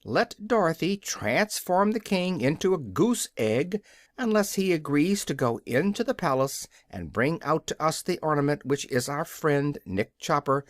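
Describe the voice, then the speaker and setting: A man's voice: on the high side, big pitch swings, unhurried, dry recording, clean studio sound. A man voicing a good-natured, self-satisfied scarecrow in a storybook, earnest and a little boastful. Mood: confident.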